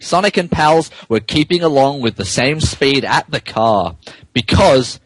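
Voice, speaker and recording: A man co-hosting a podcast, reading fan fiction aloud with deliberate mock seriousness. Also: mid-range voice, animated, slight background noise, low-quality recording. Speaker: podcast co-host narrating a story.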